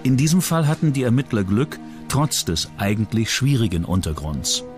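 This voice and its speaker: A man's voice: medium pitch, very animated, moderate pace. A man reading scripted true-crime documentary narration as the narrator. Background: background music.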